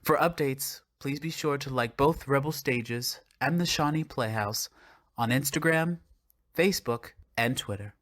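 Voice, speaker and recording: Mid-pitched man, scripted announcement. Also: a little slow, fairly bright tone. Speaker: theater producing artistic director. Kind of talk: scripted closing announcement and appeal.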